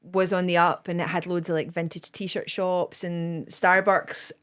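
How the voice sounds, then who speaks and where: A woman's voice: lowish voice, little pitch movement, unhurried, a bit bright, clean tone. A Scottish woman in her thirties, a guest comedian rambling in casual podcast chat with plenty of "like" and "um". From Scotland. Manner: rambling, lots of "like" and "um", bursts of laughter.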